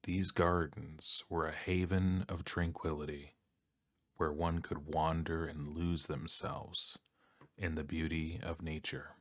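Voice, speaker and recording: A soft-spoken man with a deep voice narrating an ASMR bedtime story in a calm, soothing manner with long pauses.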